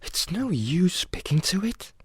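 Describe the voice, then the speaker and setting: A man's voice: high-pitched, very animated, measured pace, fairly bright tone, slightly rough, no echo, clean studio sound, pitched above the performer's own voice, heavily acted. A man voicing a polite, anxious young girl in a storybook, with a prim, plaintive manner. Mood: musing.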